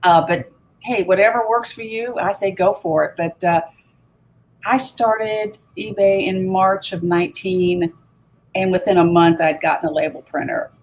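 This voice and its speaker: A woman talking on a video call in an easygoing way, repeating words while she gathers her thoughts. Her voice sits a little low for a woman. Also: steady tone, unhurried, darkish tone, low-quality recording. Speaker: reseller guest. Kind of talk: casual chat on a live video hangout.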